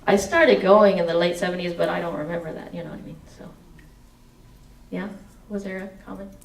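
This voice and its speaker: A woman's voice: on the low side, fairly quick, creaky, roomy sound, distant mic, slight background noise. A woman in her forties reading aloud from her memoir with a headset mic, then answering audience questions. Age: 40s. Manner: expressive reading, sings snatches of song. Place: indoor meeting room.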